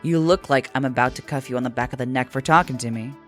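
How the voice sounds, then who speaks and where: A woman narrator puts on a brash alien rebel woman in a low-pitched voice. She is laid-back and blunt, teasing in casual slang, as in a science fiction audiobook. Faint music plays underneath. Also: quick pace. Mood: exasperated. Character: brash, laid-back alternative brown-furred female alien.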